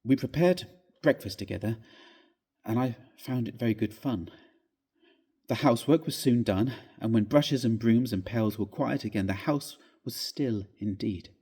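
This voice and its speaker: Male, dark mellow tone, slightly rough voice, literary storytelling, dramatic measured phrasing. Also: mid-range voice. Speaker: story reader. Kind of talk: dramatic literary reading of a classic ghost story. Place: inside a church, live reading.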